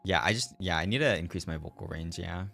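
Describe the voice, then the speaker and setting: A man's voice: sits low, quick pace, gravelly, pushed and bright. A man who streams as a virtual character, chatting casually to live viewers in a rambling, reflective way and often repeating his own phrases. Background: background music.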